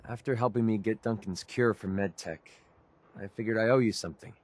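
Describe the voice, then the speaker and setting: Video game companion: male actor as grieving American gun-for-hire, sincere, hesitant, on the low side, dry studio sound. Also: a little fast, darkish tone, no room sound, lightly acted. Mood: sincere, grateful. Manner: earnest, hesitant, confiding. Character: weary, sincere young mercenary and grieving widower. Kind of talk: video game dialogue acting.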